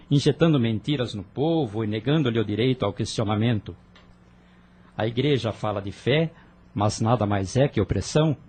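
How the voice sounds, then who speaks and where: Give me a man playing an earnest young man in an audio drama, husky and heartfelt. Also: lowish voice, a little mellow. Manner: emotional, pleading, explanatory. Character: young man Vinícius, earnest persecuted son.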